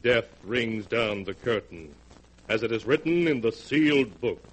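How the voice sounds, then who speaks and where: A man's voice: medium pitch, expressive, unhurried, slightly rough, poor audio. A man narrating a 1940s radio horror drama in a grave, ominous tone. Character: solemn male storyteller of the series. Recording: hissy 1940s radio recording.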